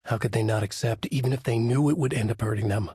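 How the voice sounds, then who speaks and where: An actor playing a haunted male horror writer who narrates in a measured, brooding way in a dry studio booth. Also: medium pitch, mellow, slightly rough, dry recording. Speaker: video game character narrating. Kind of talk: game voice lines, dark narration. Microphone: studio mic.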